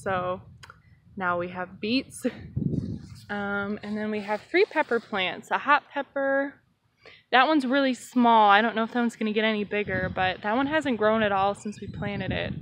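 A woman's voice: on the high side, slight background noise. Chatty woman touring her backyard garden. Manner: relaxed, chatty, with many ums and so. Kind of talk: casual phone video tour. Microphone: phone held while walking.

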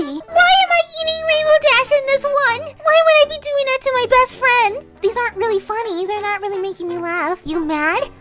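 A woman voicing a shy, sweet, easily flustered cartoon pony, with a girlish voice and a halting, apologetic, plaintive manner, improvising commentary in character. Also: very high voice. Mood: timid, puzzled, then soft, hesitant. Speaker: in-character host reacting to pictures. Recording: children's music playing under the voice. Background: children's music.

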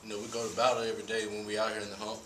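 A young man, a college basketball player, answering postgame questions at a press conference in a relaxed way, with many fillers. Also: on the low side, rapid-fire. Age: young adult. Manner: relaxed, laughs easily, many "you know" fillers. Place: small press room. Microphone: table mic. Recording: roomy echo, distant mic, hum.

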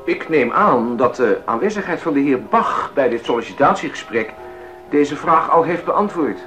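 A man's voice: fairly high pitch, expressive, moderate pace, fairly bright tone, soft background music. A man playing a reasonable, courteous council member in a radio play. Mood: conciliatory. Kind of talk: 1980s Dutch radio play acting.

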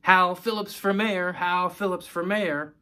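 A man's voice: high voice, flat delivery, unhurried, sharp tone, pitched above the performer's own voice. A man voicing an eager six-year-old girl in a story, exclaiming and singsong. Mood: excited.

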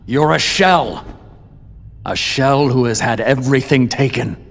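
A man's voice: mid-range voice, a little slow, creaky. An actor playing an arrogant young male villain who talks down to his foes with contempt, in a video game cutscene. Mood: taunting. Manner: contemptuous, theatrical, commanding. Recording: reverberant game audio with music.

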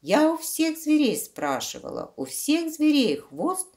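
A woman's voice: high voice, big pitch swings, unhurried, a little mellow, pitched above the performer's own voice. A woman voicing a pestering, demanding little housefly in a children's fairy tale, with insistent, whining demands. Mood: indignant.

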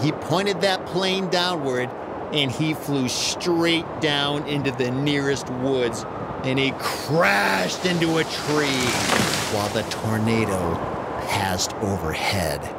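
A man narrating a children's story in a dramatic, animated way with exaggerated emphasis. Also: mid-range voice, gravelly, very noisy.

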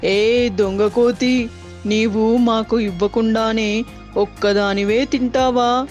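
A woman voicing indignant forest animals demanding their share in a children's animated story. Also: fairly high pitch, slow, faint music behind, voice pushed down. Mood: angry.

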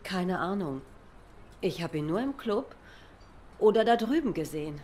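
An obliging young diner waitress in a noir game. Her voice is slightly low, plain and hesitant, with faint noise behind it. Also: animated, unhurried, darkish tone. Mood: thoughtful, sympathetic. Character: obliging, down-to-earth cat waitress, young adult. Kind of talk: noir video game dialogue.

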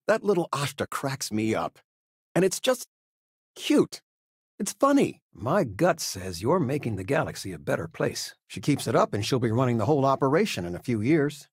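Doting middle-aged game dad: folksy, lightly husky, lively intonation, close dry sound. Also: male voice, mid-range voice, no echo. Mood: amused, then fond, proud. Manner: folksy, affectionate, conversational. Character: warm, doting middle-aged father, gently joking. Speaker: video game voice actor. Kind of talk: natural, conversational game character dialogue.

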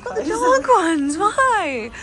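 A woman's voice: extremely high pitch, very animated, slow pace, crowd noise. Gushing young woman vlogging. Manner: gushing, chatty, talking to camera while walking. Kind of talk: casual shopping vlog chat. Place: busy city street and shops, outdoors and indoors. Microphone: handheld camera at arm's length. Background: music.

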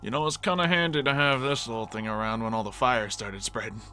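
A man's voice: mid-range voice, fairly even intonation, fast talker, pushed and bright, slight background noise, natural voice. A man playing a loyal, easygoing fighter in a fantasy roleplay, talking in a casual, agreeable way. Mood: matter-of-fact. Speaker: roleplay performer. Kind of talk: improvised fantasy roleplay acting.

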